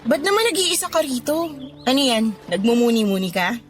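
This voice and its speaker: A woman's voice: a little high, big pitch swings, fairly quick. An actress playing a discontented, flirty mother of thirty who confides in a Filipino accent, in a conversational radio drama style. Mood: friendly, flirty. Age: 30s. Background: background music.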